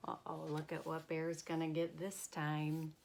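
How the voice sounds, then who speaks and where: A woman reading a children's picture book aloud in an expressive, deliberate, emphatic way. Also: low-pitched, creaky, slight background noise.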